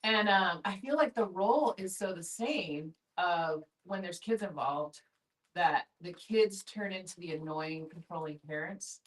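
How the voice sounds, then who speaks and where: A woman's voice: fairly low pitch, a little slow. Candid, effusive woman, somewhat distant mic. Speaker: discussion group participant. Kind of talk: casual candid group conversation. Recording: distant room microphone.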